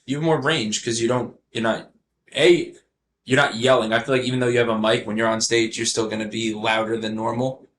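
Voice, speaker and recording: A young man hosting a podcast, chatting in an easygoing, slangy way and telling quick stories. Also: medium pitch, steady tone, fairly bright tone, slight background noise. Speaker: podcast host. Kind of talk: casual podcast chat.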